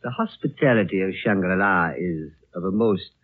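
Husky, courtly old monastery elder. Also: male voice, low voice, animated. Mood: courtly, measured. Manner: formal, measured, politely evasive. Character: courteous, discreet lama aged over a hundred, looking younger. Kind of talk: 1930s radio drama acting. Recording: thin, narrow old 1930s radio recording.

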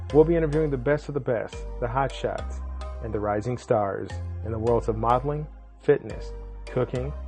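A man's voice: medium pitch, darkish tone. A man hosting a podcast, moving from a punchy scripted intro into loose, chatty interview questions. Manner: upbeat announcer-style delivery, loose and chatty in conversation. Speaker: podcast host. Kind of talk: scripted intro then casual interview chat. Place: Chicago-area podcast setup.

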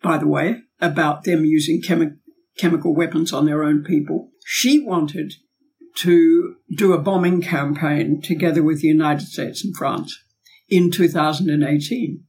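A woman's voice: deep voice, fairly even intonation, unhurried, darkish tone, breathy edge. A woman, a former diplomat and academic, speaking as a guest in a podcast interview and arguing her points emphatically with rhetorical questions. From Australia.

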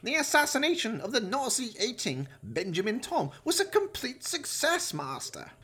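A man's voice: huge pitch swings, normal speed, bright, forceful tone, husky, heavily acted. A man playing a servile, groveling henchman scientist in a horror radio play. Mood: fawning. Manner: servile, high-pitched. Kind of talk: low-budget horror radio play acting.

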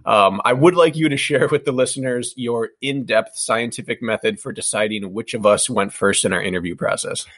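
A man's voice: medium pitch, moderate pace, hardly any pauses, a bit bright. A man in his thirties from the American Midwest, co-hosting a podcast and chatting casually, often laughing as he tells stories. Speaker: podcast co-host, running coach.

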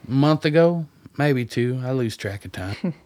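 An actor playing a gruff, wry rural guide in a radio play, talking in a blunt, folksy, plain-spoken way. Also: male voice, medium pitch, animated, unhurried, a little mellow, no echo, natural voice.

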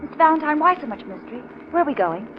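An actress with an American accent playing a pert, practical, sceptical secretary in a 1940s radio drama. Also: female voice, high voice, big pitch swings, very fast. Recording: muffled, noisy 1940s radio recording.

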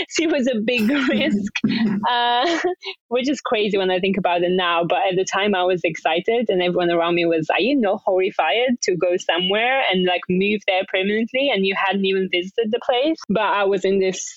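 A woman's voice: mid-range voice, no echo, dull audio. A young woman from Bulgaria, a podcast guest chatting in English as a second language, upbeat and laughing often between phrases. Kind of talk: casual interview chat.

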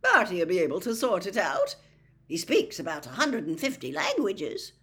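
Woman acting a booming, jovial, high-flying wizard official. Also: wildly swooping pitch, moderate pace, fairly bright tone, exaggerated voice. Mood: cheerful, breezy. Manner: eager, breezy, colloquial. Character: jovial, boyish, booming wizard official, adult man, keen gambler. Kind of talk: audiobook character voice.

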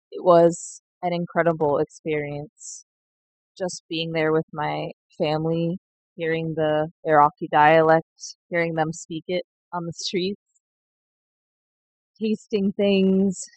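A young woman sharing a slow, heartfelt personal reflection in a recorded message. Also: low voice, dry recording, poor audio. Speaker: seed collective member.

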